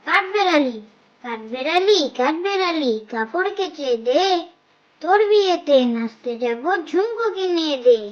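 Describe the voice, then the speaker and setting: A performer voicing a young child who leads cartoon nursery rhymes in a measured, repetitive sing-song. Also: very high-pitched, lively intonation, lo-fi sound.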